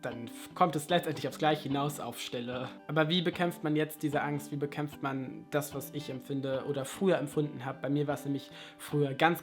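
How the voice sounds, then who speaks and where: A young man talking casually in an advice vlog, chatty and full of rhetorical questions and self-mocking asides. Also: mid-range voice. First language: German. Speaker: language vlogger.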